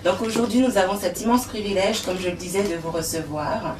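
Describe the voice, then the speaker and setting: A woman's voice: medium pitch, a little fast. A woman hosting a TV interview show, speaking in a formal, flowery way. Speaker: TV show host. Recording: echoey room, distant mic, faint noise.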